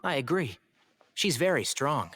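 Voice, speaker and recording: An actress playing a calm, measured strategist companion in a video game. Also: female voice, low-pitched, music in the background. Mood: calm, assured.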